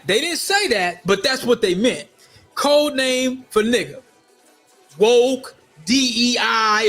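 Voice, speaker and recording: A middle-aged man from Minnesota telling a personal story in a casual, animated way, repeating phrases for emphasis. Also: sits high. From United States, Minnesota. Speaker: storyteller vlogger.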